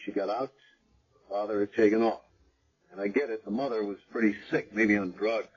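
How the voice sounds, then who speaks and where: An actor playing a calm, probing hospital chaplain with a measured, matter-of-fact manner in radio drama style. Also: male voice, lowish voice, breathy edge. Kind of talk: 1970s radio drama acting. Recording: dull vintage radio recording.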